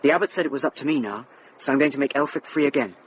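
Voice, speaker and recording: A young actor playing an earnest, well-bred youth, grateful and eager, in a radio drama. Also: male voice, medium pitch, racing, a little hiss or hum, lightly acted, low-quality recording. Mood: shy eagerness. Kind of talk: British radio drama acting.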